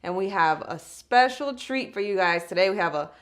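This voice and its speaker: A woman hosts a relaxed talk show, greeting viewers and asking questions with an easy laugh. Her voice is mid-pitched and plain. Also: normal speed. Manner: friendly, laughs often, asks questions. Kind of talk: casual talk show hosting. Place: studio set at a table.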